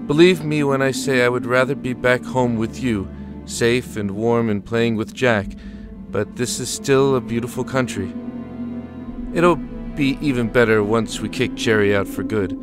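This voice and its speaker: Casting: man as weary, loving soldier narrating a letter home for audio drama, medium register, clear music bed. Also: mid-range voice, a little slow, lightly acted. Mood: tender, upbeat. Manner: tender, reassuring, stoic. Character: weary, affectionate Allied army lieutenant writing home to his love. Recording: music under the voice.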